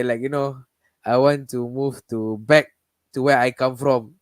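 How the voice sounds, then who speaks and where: A man leads a casual live talk, circling back and restarting his sentences as he goes. His voice sits in a middle pitch. It is recorded dry and close, with no room sound. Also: dry recording. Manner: rambling, many restarts and fillers. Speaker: host.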